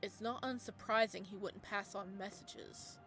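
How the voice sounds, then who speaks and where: A woman voicing a protective male living god in a game story, who speaks in a sharp, cold, clipped way. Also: mid-range voice, fairly even intonation, measured pace, soft background music. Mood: angry.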